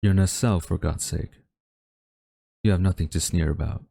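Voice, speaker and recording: A man's voice: deep voice, gravelly, mellow, slightly rough, full character voice. A man acting a haughty government official with a secretly tender side, speaking formally and theatrically in a dramatic audio roleplay. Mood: flustered, defensive. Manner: formal, theatrical, deliberate wording. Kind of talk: dramatic audio roleplay monologue addressed to listener.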